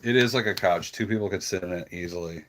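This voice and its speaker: A man with a low-pitched voice hosts an easygoing livestream about his animals. He talks in a casual, conversational way, with an affectionate streak of pet talk. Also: quick pace. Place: on a farm.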